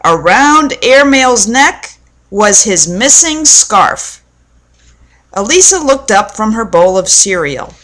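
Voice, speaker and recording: Slow-paced woman reading a children's story. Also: fairly high pitch, very animated, fairly bright tone. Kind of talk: read-aloud storytelling to children.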